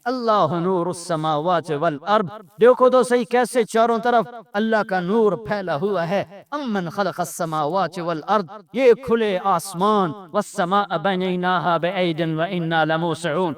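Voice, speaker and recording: A man's voice: high voice, few pauses. A man with a Pakistani accent preaching an Islamic sermon with Arabic recitation, emphatic and repeating phrases for effect. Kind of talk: impassioned Urdu religious sermon with Arabic recitation.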